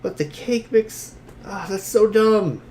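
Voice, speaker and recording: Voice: mid-range voice, slow pace, a little mellow, voice pushed up, lightly acted. A reader voicing an exasperated, whiny, childish objector in a dramatic reading. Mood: sulky.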